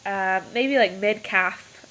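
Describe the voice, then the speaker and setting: A young woman with a cold talks from her bedroom into a laptop's built-in mic, her voice nasal and stuffed up. Her tone is bright and a little sharp, and she rambles cheerfully, laughing between thoughts. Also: medium pitch, slow. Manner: chatty, rambling, laughs often. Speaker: vlogger. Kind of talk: casual pregnancy update vlog. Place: bedroom, indoors. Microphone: built-in computer mic. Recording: lo-fi laptop recording.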